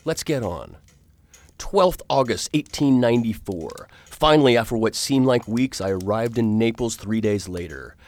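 A man playing a formal, courteous Victorian gentleman who narrates his own adventures in a radio drama. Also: medium pitch, animated, close to an everyday voice. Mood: composed. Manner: formal, courteous, measured old-fashioned English. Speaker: narrator and lead character.

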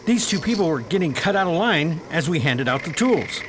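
An actor playing a blunt, hot-tempered military major on a space expedition in a radio drama. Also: male voice, a little high, big pitch swings, brisk, background noise. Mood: gruff, irritated. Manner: blunt, commanding.

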